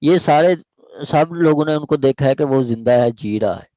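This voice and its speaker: A man with a Pakistani accent teaches law in a very dry, close recording. He reads formal text aloud, then explains it again in simple steps, often pausing to check that listeners follow. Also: fairly high pitch, warm tone, no room sound, poor audio. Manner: repetitive, step-by-step explaining with check-in questions. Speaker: law lecturer. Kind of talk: explanatory lecture, reading statute aloud and paraphrasing.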